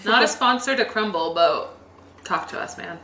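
A young woman co-hosting a casual podcast chat, bubbly and giggly with quick asides. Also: mid-range voice, pushed and bright, a little hiss or hum. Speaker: podcast co-host. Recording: roomy echo with faint ambience.

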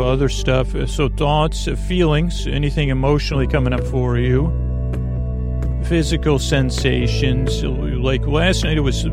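A man hosting a sleep podcast in a creaky voice, meandering and halting through mumbled word fragments and tangents to lull listeners to sleep. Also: mid-range voice. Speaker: podcast host. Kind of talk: rambling bedtime monologue meant to lull listeners to sleep.

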